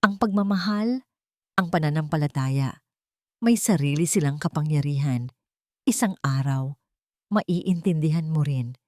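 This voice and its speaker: A woman narrator voicing a gentle, loving dying wife in an audio drama, with a soft, weak voice. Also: low voice, measured pace, long pauses, dry recording, studio quality. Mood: tender, earnest.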